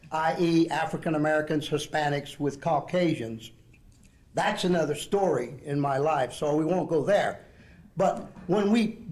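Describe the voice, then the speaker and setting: Older Southeast Texas man, high voice. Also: a little mellow. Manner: earnest, rambling with frequent fillers and restarts. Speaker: speaker at an education program dinner. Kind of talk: speech to a group.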